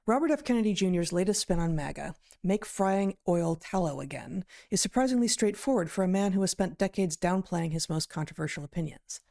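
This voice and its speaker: A woman giving deliberate, articulate conversational commentary as a podcast co-host, pausing mid-sentence. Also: low voice, vocal fry, darkish tone. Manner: deliberate, articulate, hesitant pauses mid-sentence, emphatic word choices. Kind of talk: conversational commentary on news, reading out quotes.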